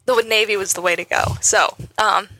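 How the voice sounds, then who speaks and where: A woman's voice: medium pitch, fairly even intonation, normal speed, pushed and bright, poor audio. A young woman from Montana who serves as a Navy sailor, talking earnestly and with feeling about her family. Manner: earnest and heartfelt. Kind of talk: radio interview.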